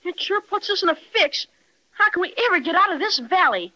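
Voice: very high-pitched, lively intonation, brisk, bright, forceful tone, dull audio, heavily acted. A performer playing an eager, plucky young boy in 1930s radio acting style. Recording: noisy 1930s radio recording.

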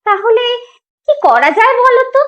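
A woman's voice: very high voice, big pitch swings, normal speed, fairly bright tone, lo-fi sound, exaggerated voice. A woman voicing a fussy but caring village mother in a moral cartoon, homely and exclaiming. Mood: worried. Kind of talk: Bengali moral cartoon dialogue.

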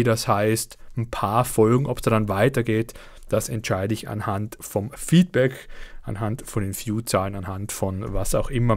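A man, slightly under the weather, giving his viewers a casual monthly update on his gaming videos, chatty with fillers and an uneven pace. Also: medium pitch. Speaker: let's play channel host.

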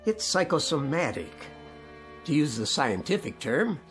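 Patient, animated family doctor. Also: male voice, a little high, faint music behind. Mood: candid. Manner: measured, reassuring, tactful. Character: patient, reasonable male family doctor, gently candid. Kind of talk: radio drama acting.

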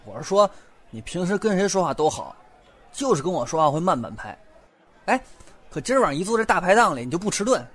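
A man acts out a young man in a radio drama with a very lively, swooping pitch. He is curious and blunt, with a nervous, playful streak, shifting between muttering and blurting. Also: quick pace, breathy edge, exaggerated voice. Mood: inquisitive. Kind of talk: Chinese audio drama acting.

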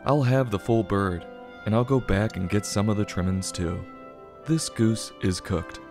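A man's voice: on the low side, a little slow, music in the background. A man hosting a review show, reading a scripted review in a tongue-in-cheek manner. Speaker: book review show host.

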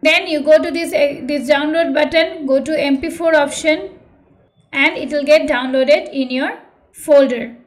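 High-pitched woman narrating a tutorial. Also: measured pace. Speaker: tutorial presenter. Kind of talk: tutorial narration and channel appeal.

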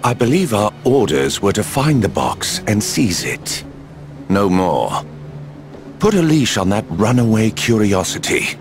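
Suave game villain, lowish voice, unhurried, dry, condescending, music behind. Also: male voice, sing-song. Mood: stern, skeptical. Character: cool, suave, skeptical male villain henchman. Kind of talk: video game cutscene acting.

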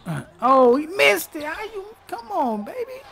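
A man doing excited, slangy gameplay commentary as a streamer, hyping himself up with repeated catchphrases. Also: extremely high pitch, unhurried, gravelly. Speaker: video game streamer.

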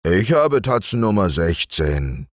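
A man's voice: deep voice, sing-song, takes it slowly, no echo, studio quality, voice pushed up, heavily acted. A man voicing a grumpy, stout older male bear in a storybook reading, grumbling and a little sly.

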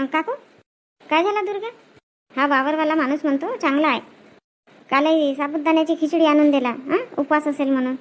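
Woman voicing a very high-pitched chatty village woman. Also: a little fast, long pauses, a little hiss or hum, close to an everyday voice. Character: chatty village farm labourer women. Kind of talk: cartoon comedy dialogue.

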